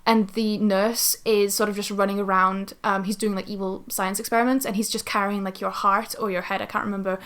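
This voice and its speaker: A woman's voice: mid-range voice, flat delivery, fairly quick, few pauses, fairly bright tone. A woman co-hosting a podcast, chatting casually about video games in an informal, sweary way peppered with "like". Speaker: podcast co-host.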